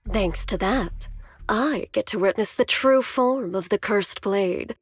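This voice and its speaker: Slow, smug villainess. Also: female voice, mid-range voice, a little hiss or hum. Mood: gloating. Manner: theatrical, taunting. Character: smug, scheming female villain, coolly menacing. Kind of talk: theatrical scripted game dialogue.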